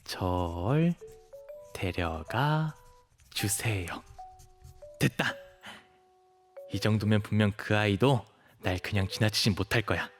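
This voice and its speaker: A man's voice: on the low side, normal speed, long pauses, faint music behind, lightly acted. A man acting as an eager, cutesy, pleading twenty-year-old dog shapeshifter in an audio drama, with a boyish manner. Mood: scheming, eager. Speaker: voice actor.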